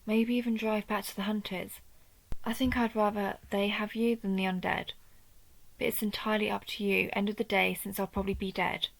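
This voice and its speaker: A woman playing an injured vampire who hunts her own kind, protective, proud and wry, in a measured, intimate audio roleplay. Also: medium pitch, level tone, gravelly, rough recording. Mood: grave, urgent. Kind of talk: scripted audio roleplay addressed to the listener.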